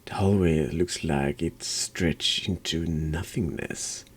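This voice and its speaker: Very deep, slow man with a cold. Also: a little hiss or hum. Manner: slow, halting, drops into whispers. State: congested with a cold. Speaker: streamer and host of a horror game playthrough. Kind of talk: hushed ASMR-style game commentary and read-aloud narration.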